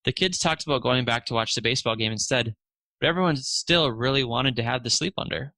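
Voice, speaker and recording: A man's voice: medium pitch, fairly quick, bright, forceful tone, no echo. A man narrating a children's storybook aloud, expressively lifting his voice for the dialogue.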